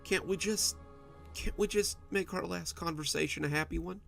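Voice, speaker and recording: Man voicing a young wife, high sweet tone, on the edge of crying, voice cracking. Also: moderate pace, gravelly, fairly bright tone, faint music behind. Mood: tearful. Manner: high, overly sweet, voice breaking. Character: frightened young wife holding back tears.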